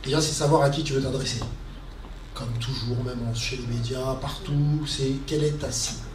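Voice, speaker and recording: A man in his forties answering a question at a conference stand on a handheld mic, halting with many fillers and repeats. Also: mid-range voice, fairly even intonation, recorded from a distance, background noise. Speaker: guest speaker. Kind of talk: casual panel answer. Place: conference media stand, indoors. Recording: echoey hall, heard from afar.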